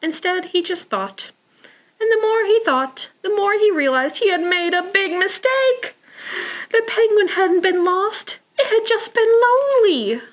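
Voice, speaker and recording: Slow, high-voiced woman reading to preschoolers. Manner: expressive, with sighs, gasps and exclamations; simple child-directed phrasing. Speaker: children's librarian storytime presenter. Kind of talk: read-aloud picture book storytime for preschoolers.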